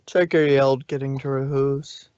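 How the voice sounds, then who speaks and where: A man reading scripted fan fiction as a storybook narrator, with a measured, dramatic delivery. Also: medium pitch, little pitch movement, darkish tone, clear, resonant tone, no room sound, muffled recording.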